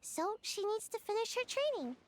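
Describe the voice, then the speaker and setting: A woman's voice: extremely high pitch, big pitch swings, moderate pace. A woman voicing a sleepy, lazy little ninja girl in a video game, drowsy and a bit defensive, with game music behind.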